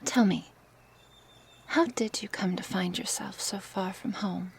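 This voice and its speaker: A gentle, curious snake woman, acted by a woman murmurs close to the mic in an ASMR roleplay. Her voice is breathy and often whispered, with a fry at the edges. She coaxes slowly and hesitantly over faint background ambience. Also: medium pitch, slight background noise. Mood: earnest, pleading. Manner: slow, hesitant, soft-spoken with sighs and pauses. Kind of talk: ASMR roleplay, intimate one-sided dialogue.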